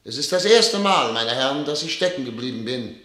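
An actor playing a troubled, grandiose head of state in a theatrical German radio drama, declaiming with weighty pauses. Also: male voice, mid-range voice, animated, fairly bright tone, natural voice. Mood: remorseful, solemn. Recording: echoing hall with long reverb.

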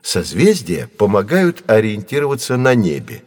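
An actor playing a kindly, patient professor who explains science to children in an educational audio play. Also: male voice, on the low side, sing-song, moderate pace, a little mellow, dry recording, clean studio sound. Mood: explanatory. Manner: didactic, carefully phrased, encouraging.

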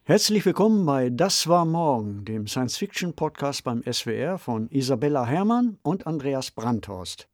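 A man's voice: mid-range voice, very animated, moderate pace, few pauses, a little mellow, no echo, clean studio sound. A man co-hosting a podcast, presenting in a scripted style. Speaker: podcast co-host.

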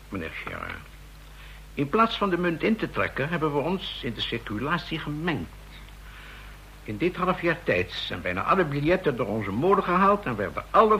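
A man's voice: a little high, creaky, fairly bright tone, slight background noise, exaggerated voice, rough recording. An actor playing an insistent male counterfeiting expert explaining his case to officers in a radio drama.

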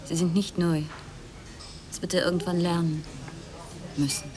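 A cool, disillusioned woman with a sharp tongue, played by an actress in a radio drama. The voice is slightly low and dry, over clearly audible ambient noise. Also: steady tone, normal speed, lightly acted. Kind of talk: German radio play acting. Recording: noisy ambient background.

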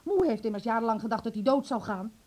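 A woman's voice: fairly high pitch, expressive, fast, a little mellow. A woman acting a bitter, domineering, scornful middle-aged mother in a Dutch radio drama, indignant and reproachful.

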